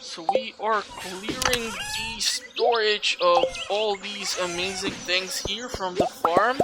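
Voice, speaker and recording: Let's play host, mid-pitched voice, casual rambling commentary, heavy background noise with clicks. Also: huge pitch swings, slow pace. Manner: rambling, thinking aloud. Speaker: gameplay host.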